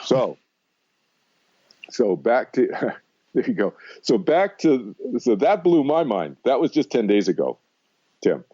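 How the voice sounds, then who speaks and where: Dark, dull-sounding older American man. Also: mid-range voice, big pitch swings, takes it slowly, long pauses, husky. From Massachusetts, United States. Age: 60s. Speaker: interview guest, meditation teacher. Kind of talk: conversational radio interview, personal storytelling.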